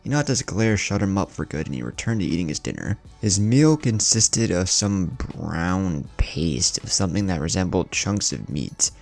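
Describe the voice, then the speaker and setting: A man's voice: sits low, sing-song, normal speed, vocal fry, hardly any pauses, a little mellow. A man narrating a scripted fan fiction story, with music playing behind him.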